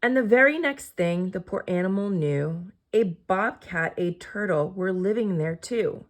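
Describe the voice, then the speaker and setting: A woman reading a children's picture book aloud as an expressive storyteller. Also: medium pitch, measured pace.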